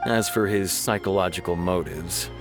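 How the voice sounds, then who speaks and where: Man as video game law officer, slightly low pitch, earnest, formal, measured, music behind. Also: fairly even intonation, lightly acted.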